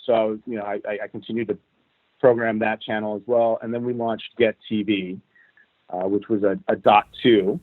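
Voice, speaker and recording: A man from Cuba, a guest in an interview, telling how his career went in an animated, casual way. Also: lowish voice, normal speed, no room sound. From Cuban. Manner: animated, recounts events at length. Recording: tinny phone-like line, dry.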